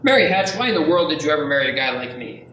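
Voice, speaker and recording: A man playing an excitable, warm-hearted small-town family man in an amateur radio play. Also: a little high, racing. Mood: tender, amazed. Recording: echoey room, distant mic, background noise.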